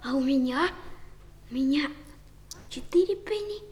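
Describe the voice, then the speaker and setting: A small boy in a children's radio play, with an extremely high voice. He sounds naive and plaintive, and speaks in hesitant, childlike phrases with repeated words. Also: animated, measured pace, darkish tone. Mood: earnest. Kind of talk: 1960s children's audio play acting.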